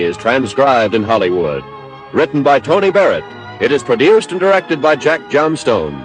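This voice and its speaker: Male vintage radio announcer: American, expressive, medium pitch, scripted sign-off with music behind. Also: poor audio.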